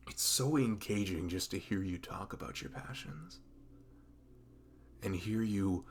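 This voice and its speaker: A man acting a dorky, sentimental young best friend with a crush in an intimate ASMR roleplay, speaking haltingly in a rambling, self-conscious way with a gravelly creak. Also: deep voice, fairly bright tone, a little hiss or hum, heavily acted. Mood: admiring, tender. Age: young adult.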